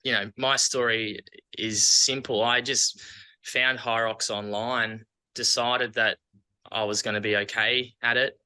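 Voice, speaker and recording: Aussie guy chatting as an interview guest, really bright forward voice, clean and resonant. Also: medium pitch, little pitch movement, a little slow, clear, resonant tone, rough recording. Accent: Australian. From Australia. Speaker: interview guest, athlete and coach. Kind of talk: casual interview chat.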